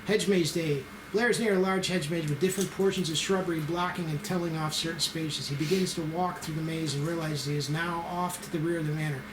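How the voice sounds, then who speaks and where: Male narrator, slightly high voice, scripted scene directions, roomy echo, noisy recording. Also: steady tone, brisk, few pauses. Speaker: screenplay narrator and reader. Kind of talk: reading stage directions aloud at an amateur table read. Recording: roomy echo with clear noise.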